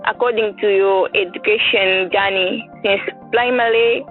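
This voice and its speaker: A woman's voice: mid-range voice, steady tone, unhurried. A woman interviewing a guest, asking loosely worded questions that she sometimes restarts. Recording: tinny phone-like line, faint music.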